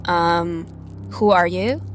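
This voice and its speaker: Woman acting a wry, unhurried plucky survivor. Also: fairly low pitch, slight background noise. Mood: wry, curious. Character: wry, plucky young female survivor seeking to stop an apocalypse. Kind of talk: audio drama acting.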